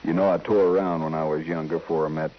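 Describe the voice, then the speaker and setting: A low-pitched cowboy, proud but troubled, a gunfighter who gave it up. He talks in plain, folksy rustic phrases. The sound is a muffled vintage radio western with some hiss. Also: level tone, fast talker. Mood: uneasy, confessing. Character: troubled reformed gunfighter, rancher and father, proud, anxious. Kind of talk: old-time radio western acting. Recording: muffled, noisy old radio recording.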